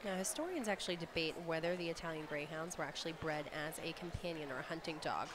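A mid-pitched co-commentator feeds breed history into live dog show coverage, informative and quick to laugh. Heavy crowd noise sits under the voice throughout. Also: animated.

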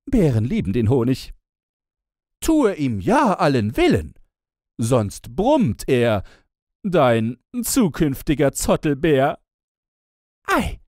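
A man's voice: fairly high pitch, darkish tone, no room sound, studio quality, voice pushed up, full character voice. A man voicing a vain, teasing young woman who taunts with exaggerated sweetness in a fairy tale. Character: mocking, teasing vain young elder sisters, female.

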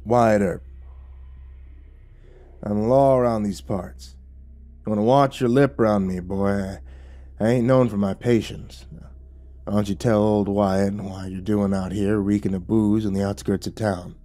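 A man's voice: fairly low pitch, steady tone, measured pace, pauses often, dark tone, natural voice. A man playing a tall, impatient frontier lawman with a threatening in an audiobook story. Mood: gruff, menacing. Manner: drawling, threatening. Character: tall, gruff, impatient Old West lawman.

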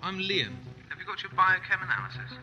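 An actor playing a calculating, smooth-talking official in a sci-fi audio drama, polite and probing. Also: male voice, high-pitched, very animated, brisk, pushed and bright, exaggerated voice, rough recording. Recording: music behind the voice.

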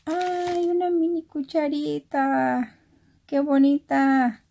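Soft-spoken Mexican woman, unhurried craft chat. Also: sits high, flat delivery, vocal fry. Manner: soft-spoken, keeping voice down because others are sleeping. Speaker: craft vlogger. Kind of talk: casual chat, narrating an unboxing. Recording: noisy room with handling rustle and crinkling. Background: clicks, crumpling and crinkling of packaging.

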